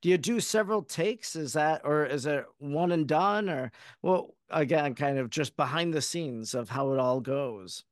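A man hosts a podcast interview in a conversational style. His voice is clean and smooth, pitched a bit above average for a man. The sound is close and dry, with very little room. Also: a little slow, few pauses, no room sound.

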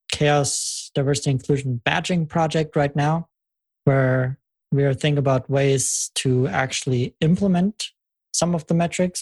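A podcast host leading a conversational interview, thanking the guest and asking questions with small halting restarts. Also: medium pitch, darkish tone.

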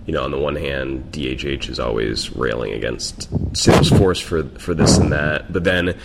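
Very deep, gravelly podcast host. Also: male voice, normal speed, very noisy. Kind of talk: casual podcast interview.

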